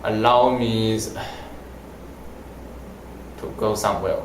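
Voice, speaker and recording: A young man whose first language is Mandarin, answering interview questions in halting, simple phrasing. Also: on the low side, little pitch movement, slight background noise. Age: young adult. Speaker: language student. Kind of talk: casual interview. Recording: roomy echo.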